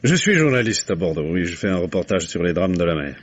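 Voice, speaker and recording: An actor playing a friendly, nosy reporter with a chatty, coaxing manner in a 1950s-style radio drama. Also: male voice, low-pitched, very animated, fast, dull audio, slight background noise. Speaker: journalist.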